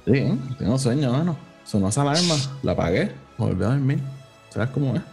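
A man's voice: medium pitch, very animated, brisk, vocal fry, darkish tone, soft background music. A man with a Puerto Rican Spanish accent hosting a podcast in casual chat, informally mixing in English words. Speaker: podcast host.

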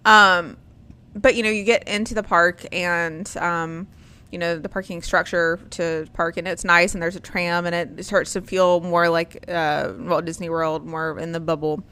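A woman's voice: fairly low pitch, steady tone, measured pace, sharp tone, clear, resonant tone. A woman, hosting a casual chat and rambling with lots of ums and likes.

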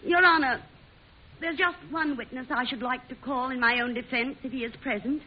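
An actress playing a fiery, sharp-tongued, defiant woman on trial in an old-time radio drama. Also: high-pitched, fairly even intonation, moderate pace, husky. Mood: determined. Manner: indignant, sarcastic, outspoken. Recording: hissy, muffled old radio recording.

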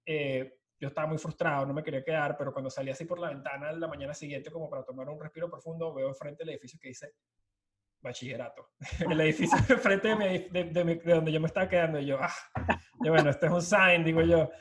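A man's voice: on the high side, fast, fairly bright tone. A Venezuelan man in his thirties, a startup co-founder, telling his story at length over a video call and dropping English words into his Spanish. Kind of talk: casual storytelling interview.

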